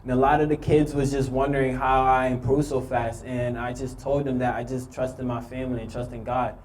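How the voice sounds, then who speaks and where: A young man from Haiti nervously telling his own story to an audience, with many pauses and ums. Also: medium pitch, flat delivery, a little mellow, a little hiss or hum, rough recording. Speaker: youth speaker sharing his story. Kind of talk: personal testimony speech.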